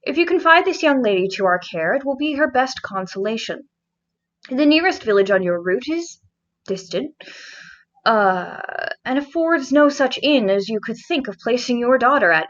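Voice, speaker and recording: A courteous gentleman father, played by a woman reader in a high voice, speaks in formal, gallant, measured phrases. It is an earnest storybook reading with slightly dull, lo-fi sound.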